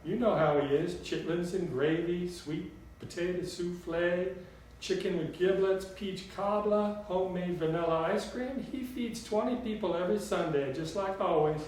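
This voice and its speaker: Old Southern grandmother spirit for an audiobook, played by a man: high voice, sweet drawl, blunt sass, heavy room echo. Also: moderate pace, a little mellow, lightly acted. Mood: folksy, sassy. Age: older. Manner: folksy drawl full of endearments. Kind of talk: audiobook storytelling character voice. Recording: very echoey room, distant mic, faint noise.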